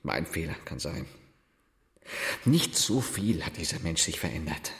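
Tormented husband, wildly swinging voice. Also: male voice, very deep voice, very animated, a bit bright, heavily acted. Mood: drunken, despairing. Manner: halting, brooding, bursting into bitter outbursts. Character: tormented, self-reproaching husband, drinking through the night. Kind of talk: German literary radio drama acting.

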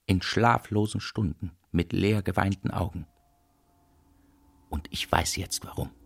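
A man's voice: deep voice, unhurried, vocal fry, breathy edge, heavily acted. A man playing a frazzled, self-pitying cookie inventor, jittery and melodramatic, in a children's audio drama. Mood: doom-laden. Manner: melodramatic, jittery, repeats himself plaintively.

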